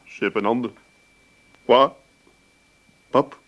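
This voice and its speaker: An actor playing a flustered, plaintive grown son with an Amsterdam accent in a radio comedy. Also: male voice, mid-range voice, slow pace, a bit bright, muffled recording. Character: hapless, whiny grown son, easily upset.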